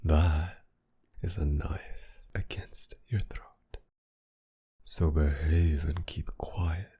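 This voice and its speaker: A man playing a mocking, menacing masked villain kidnapper in an intimate ASMR roleplay, with a gravelly, breathy murmur that often sinks toward a whisper. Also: very deep voice, fairly even intonation, dark tone, heavily acted. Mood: hushed, threatening. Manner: taunting, commanding, slow and teasing.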